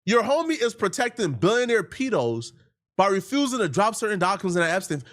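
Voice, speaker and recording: Fired up guy doing commentary, kinda high voice, super animated, slangy and indignant. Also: fairly quick. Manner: emphatic, indignant, slangy, repeats phrases for effect. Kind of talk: animated opinionated video commentary.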